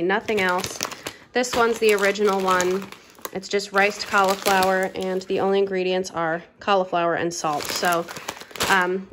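A woman's voice: mid-range voice, a bit bright, slight background noise. A woman in her thirties vlogging a grocery haul in a casual, chatty way, with plenty of ums and uhs, from her home kitchen table. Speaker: lifestyle vlogger.

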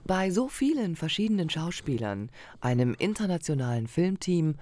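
A man reading scripted documentary narration in a calm, explanatory way. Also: on the high side, normal speed.

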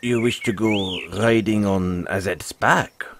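Guy doing a friendly dragon rider mentor for a fantasy roleplay, musing and folksy, kinda low voice, noise behind him. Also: fairly even intonation, slow pace. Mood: thoughtful, persuading. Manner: folksy, earnest, musing, says "aye" and "lad". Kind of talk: audio roleplay addressed to the listener.

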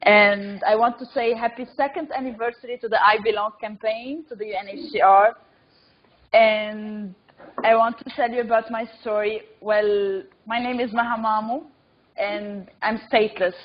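A woman's voice: a little high, fairly even intonation, normal speed. Earnest young woman on a muffled call. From Lebanon. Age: young adult. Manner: earnest, simple direct sentences, repeats phrases for emphasis. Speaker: invited speaker giving testimony. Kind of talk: personal testimony on a webinar.

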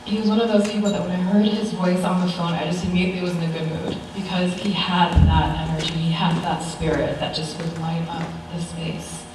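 A woman's voice: fairly low pitch, flat delivery, brisk, few pauses. A young adult American woman giving an informal, conversational eulogy with personal stories, recorded from a distance in a church. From United States. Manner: conversational, chuckling through anecdotes. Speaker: niece giving a funeral tribute. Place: large church sanctuary, at a pulpit. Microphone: far camera. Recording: very echoey church hall, distant mic, faint noise.

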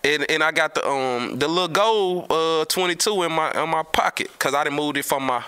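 A middle-aged man tells street stories casually in slang, with emphatic, animated delivery and repeated words. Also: fairly high pitch, brisk, smooth. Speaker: storyteller, host of his own show. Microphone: close podcast mic on a boom arm.

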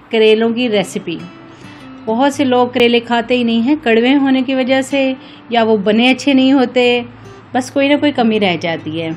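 Female cooking vlog host, higher-pitched voice, casual step-by-step instruction addressed to viewers, music behind. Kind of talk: casual recipe narration, step by step.

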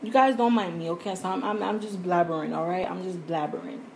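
A chatty young woman talks her viewers through a recipe in a home kitchen. Her voice sits a little low, with some faint noise in the background from the phone recording. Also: a little fast. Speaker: vlogger. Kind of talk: casual cooking vlog. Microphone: phone camera.

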